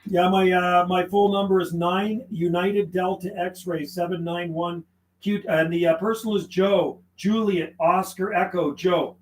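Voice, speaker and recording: A man's voice: high voice, fairly even intonation, measured pace, a little hiss or hum, poor audio. A man from western Canada chatting live on CB radio, laughing often and rattling off call signs and radio jargon. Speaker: CB radio operator streaming live. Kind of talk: casual on-air radio chat.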